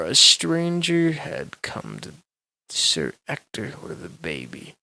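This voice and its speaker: A man reading a bedtime story aloud as a storyteller, with measured, dramatic delivery. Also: mid-range voice, gravelly, fairly bright tone.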